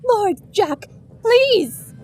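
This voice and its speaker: Woman as high-voiced, earnest little fairy. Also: animated, extremely slow, a little mellow, slight background noise, exaggerated voice. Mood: pleading. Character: kindly, earnest female sugar plum fairy. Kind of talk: amateur audio drama acting.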